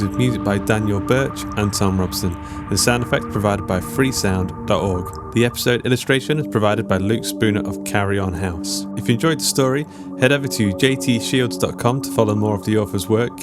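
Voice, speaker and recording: Low-voiced man over loud music. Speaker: podcast host reading end credits. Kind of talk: scripted podcast outro.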